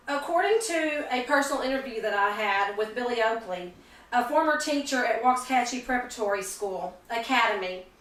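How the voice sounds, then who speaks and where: Woman, student speech, earnest, halting, restarts, bright, roomy echo. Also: a little high. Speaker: student giving a class speech. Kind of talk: persuasive speech. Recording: echoey room, mic far off.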